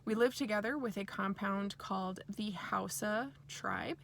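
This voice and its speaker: A woman from Nigeria with American parents gives a reflective, personal spiritual talk in a deliberate way, pausing mid-phrase. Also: medium pitch, vocal fry, fairly bright tone, a little hiss or hum. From born and raised in Nigeria to American parents. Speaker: speaker sharing personal origin story.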